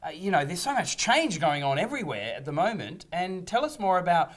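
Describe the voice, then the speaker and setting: A man's voice: sits high, big pitch swings, brisk. A man hosting an interview show, eager and often stumbling over the first words of his questions. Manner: eager, repeats words when starting questions. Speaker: host.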